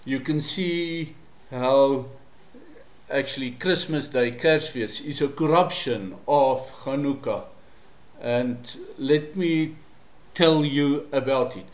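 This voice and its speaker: Afrikaans-man teaching in an echoey room. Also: medium pitch. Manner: measured and explanatory, with frequent fillers. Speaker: Bible study presenter. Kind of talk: solo teaching monologue to camera.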